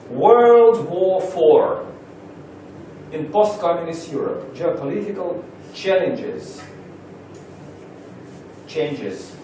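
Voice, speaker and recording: A Russian man speaking English as a second language, giving a halting seminar introduction with many pauses. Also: fairly high pitch, noisy background. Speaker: lecturer. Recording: roomy echo from far off.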